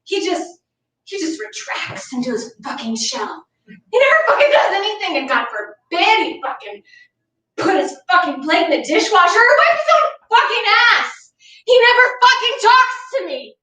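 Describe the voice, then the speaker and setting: A woman's voice: very high-pitched, very animated, moderate pace, bright, forceful tone, full character voice. A woman playing a bitter, privileged suburban wife and mother in a staged play reading, emphatic and exasperated. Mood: furious, exasperated. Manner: profane ranting, emphatic. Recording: echoey room, far from mic.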